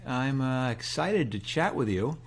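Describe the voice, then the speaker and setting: A man hosts a podcast interview with an easygoing, folksy delivery. His pitch sits in a middle range, and his questions are loose and friendly, with plenty of pauses and fillers. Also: lively intonation. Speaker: podcast host. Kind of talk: conversational interview.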